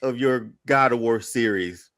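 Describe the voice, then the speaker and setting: A man's voice: medium pitch, a little slow, fairly bright tone, dry recording, studio quality. A man talking casually as a podcast panelist, thinking aloud in a conversational way. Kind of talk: casual pop-culture discussion.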